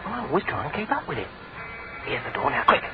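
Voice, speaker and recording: A man's voice: fairly high pitch, wildly swooping pitch, quick pace, loud music behind the voice. A man playing a cheeky young British detective's assistant in a radio drama, breezy and slangy. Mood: urgent. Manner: breezy, teasing, chatty. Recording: tinny phone-like radio recording, crowd behind.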